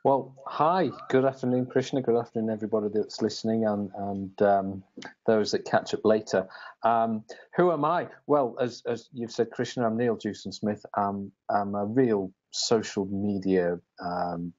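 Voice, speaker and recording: A man's voice: fairly low pitch, normal speed, a little mellow. A man in his forties, a police sergeant from the UK, speaking as an interview guest with frequent ums and restarts, on lo-fi call audio. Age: 40s. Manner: hesitant, with many ums and repeated words.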